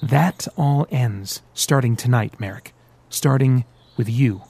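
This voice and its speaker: A man voicing a smooth, sarcastic male devil who teases and threatens by turns in an audiobook. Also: a little high, sing-song, moderate pace, a little mellow, pitched above the performer's own voice. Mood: persuasive. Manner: teasing, sarcastic, theatrical. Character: sly mocking male devil, smooth, menacing.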